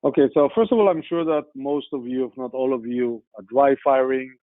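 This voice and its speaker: A man with an Israeli accent, a guest speaker who talks earnestly and pauses often with "uh". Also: mid-range voice, dry recording. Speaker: guest speaker, sales executive. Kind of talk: guest talk in a webinar. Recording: tinny phone-like webinar line.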